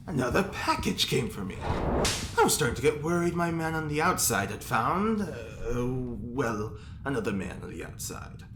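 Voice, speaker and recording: A man's voice: mid-range voice, big pitch swings, moderate pace. A man playing a pampered, fussy, arch prisoner in an audio drama, speaking in a coy, theatrical drawl. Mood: gossipy, delighted. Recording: echoey room, faint noise.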